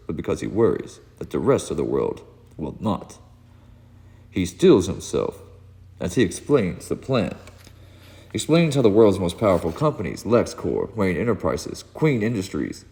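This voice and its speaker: A man narrating a comic book read-along in a dramatic, solemn, theatrical way with deliberate pauses. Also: low-pitched, warm tone. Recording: long hall reverb.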